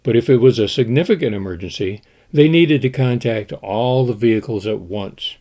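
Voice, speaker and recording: A man telling the history of an old board game in a measured storytelling way, with an occasional "uh" as he goes. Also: mid-range voice, warm tone. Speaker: board game collector presenting. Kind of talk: scripted history narration.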